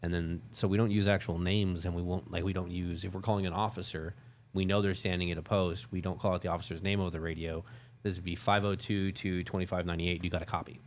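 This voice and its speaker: A man with a very deep voice talks informally as a co-host on a workplace show. He explains procedures in an unscripted, conversational way. There is clear noise and room ambience behind him. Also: little pitch movement, quick pace. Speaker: co-host, security company lieutenant. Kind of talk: casual unscripted work talk show.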